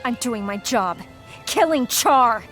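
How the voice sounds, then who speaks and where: A woman's voice: fairly high pitch, lively intonation, slow. A woman playing a vengeful, hot-headed young fighter in a video game, biting and defiant. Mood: furious. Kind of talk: video game cutscene acting. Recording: voice over loud cinematic music.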